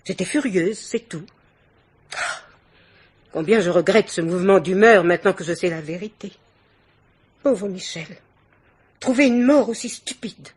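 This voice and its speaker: An actress playing an imperious, chattering middle-aged society hostess in a theatrical, exclamatory French radio mystery play. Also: female voice, medium pitch, a little slow, pauses often, slightly rough. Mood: affronted.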